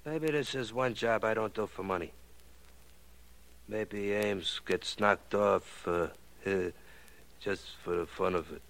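Low, gravelly racketeer. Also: male voice, slow, a bit bright, full character voice. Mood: coolly menacing. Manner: wry, roundabout tough-guy underworld slang. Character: slangy male racketeer boss, coolly menacing hired killer. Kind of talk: 1940s radio crime drama acting.

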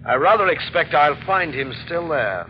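An actor playing a coldly polite, menacing jealous husband in an old-time radio mystery, speaking in a clipped, mockingly calm way. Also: male voice, fairly high pitch, moderate pace, natural voice. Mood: coolly probing. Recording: muffled, slightly noisy old radio recording.

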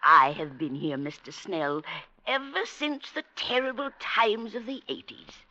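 Snooty old lady, radio play, slow n sharp, swoops all over, old muffled recording. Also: mid-range voice, animated. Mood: wistful. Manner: haughty, querulous, slow and theatrical. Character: imperious old matriarch of eerie store-dwellers, past eighty. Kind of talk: old-time radio drama acting. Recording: dull vintage radio recording.

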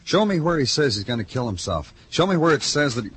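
An actor playing a hard-boiled police detective in an old radio drama, clipped and brooding. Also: male voice, mid-range voice, very animated, fast, a bit bright, a little hiss or hum. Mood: terse. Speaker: detective and narrator. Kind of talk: 1950s radio noir narration and acting.

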